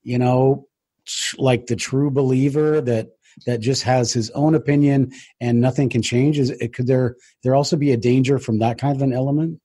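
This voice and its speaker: A man's voice: medium pitch, fairly even intonation, normal speed, dark tone, slightly rough. A man hosting an interview in a casual, conversational way, asking long rambling questions with restarts. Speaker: interview host.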